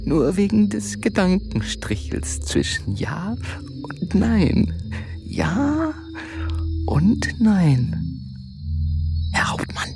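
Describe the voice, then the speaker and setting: A woman playing a tormented, servile, fatalistic poor soldier in a radio play, halting and often whispering. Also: medium pitch, sing-song, over music, heavily acted. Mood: brooding. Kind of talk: theatrical German radio drama acting.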